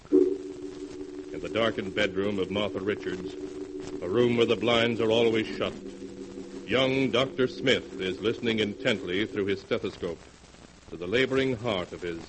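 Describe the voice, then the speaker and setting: A man's voice: lowish voice, background noise. Ominous 1940s radio announcer, man. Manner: dramatic, ominous, drawn-out delivery.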